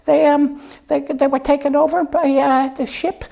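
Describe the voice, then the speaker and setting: A woman's voice: high-pitched, little pitch movement, normal speed, gravelly, mellow. A woman voicing a silly, self-assured slipper puppet who rambles through comic stories in a hesitant, matter-of-fact way. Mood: silly, animated. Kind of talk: improvised comic puppet show storytelling.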